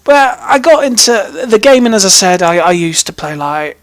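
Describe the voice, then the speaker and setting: A young man with an English accent speaks in a notably high voice for a male. He tells personal stories casually, like a vlog host, often breaking off and rambling playfully. The sound is dry, with very little room in it. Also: very animated, normal speed, no room sound. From British, raised in army family in Germany, Hong Kong and England. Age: young adult. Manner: rambling, self-interrupting, playful. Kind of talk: casual chatty personal storytelling to camera.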